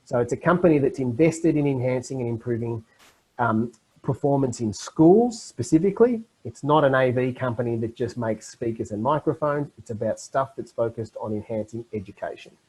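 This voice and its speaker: A middle-aged man presenting a webinar to teachers over a video call, explaining in an animated way. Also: medium pitch, normal speed, husky, poor audio.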